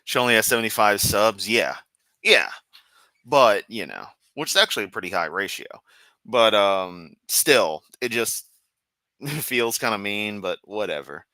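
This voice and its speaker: A man's voice: fairly low pitch, expressive, a little slow, pushed and bright, no echo. A man hosting a casual live stream, rambling and sarcastic while clicking around, with a sore throat. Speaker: livestream host.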